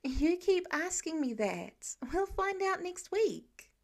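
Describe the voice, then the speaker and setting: A woman's voice: very high-pitched, very animated, takes it slowly, pitched above the performer's own voice, exaggerated voice. A woman voicing an earnest, exasperated teenage boy in a fiction audiobook, protesting in an offhand, indignant way.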